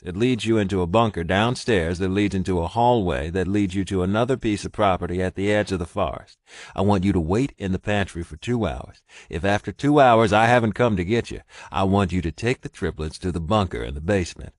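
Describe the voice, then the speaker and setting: A man's voice: on the low side, no echo, studio quality. A man reading the part of a rugged, protective cowboy alpha in an audiobook, folksy and commanding.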